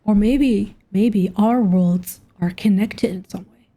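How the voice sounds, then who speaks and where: A woman's voice: mid-range voice, takes it slowly, slightly rough. A young woman giving casual gameplay commentary, thinking aloud in slangy, informal speech. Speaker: gamer reacting to a story quest. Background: soft game music.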